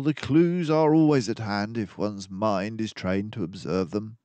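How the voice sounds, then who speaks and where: A man's voice: medium pitch, a little mellow, no echo, voice pushed up. A man voicing a self-assured mouse detective from a storybook, formal and precise as he explains. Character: confident, precise male mouse detective, lecturing and brisk.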